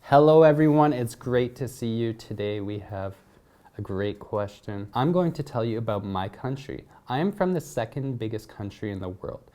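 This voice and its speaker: A man's voice: mid-range voice, animated, moderate pace, mellow. A man from Canada giving a prepared, upbeat talk to students, rattling off facts in a list-like way. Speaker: English teacher.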